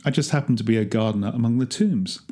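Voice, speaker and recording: A man's voice: mid-range voice, fast talker, dark tone, close to an everyday voice. A man reading a dramatic monologue as a wry, grumbling gardener among ancient tombs, chatty and darkly humorous. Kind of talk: first-person dramatic monologue, read aloud.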